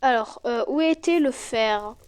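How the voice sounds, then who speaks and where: A woman with a stuffy cold, chatting excitedly to her viewers as she plays and comments on a game. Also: a little high, a little slow, clear, resonant tone. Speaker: gaming YouTuber. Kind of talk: casual gameplay commentary.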